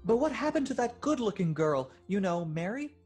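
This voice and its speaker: A man's voice: high voice, big pitch swings, moderate pace, a little mellow, breathy edge, soft background music, full character voice. Man acting an eager, innocent, curious angel. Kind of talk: radio drama acting.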